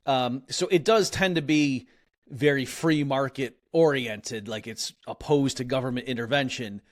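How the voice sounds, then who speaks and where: A man's voice: medium pitch, unhurried. A man being interviewed as an economist, explaining ideas in a casual, conversational way with frequent fillers and restarts. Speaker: economist guest.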